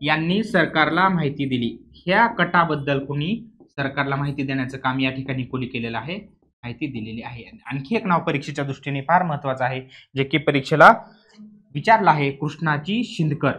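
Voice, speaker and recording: A man's voice: on the high side, a little fast, a bit bright. Maharashtrian man teaching, emphatic and repetitive. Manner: repeats key points, poses questions to students and answers them. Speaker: history teacher for competitive exam coaching. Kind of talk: classroom lecture explaining exam questions.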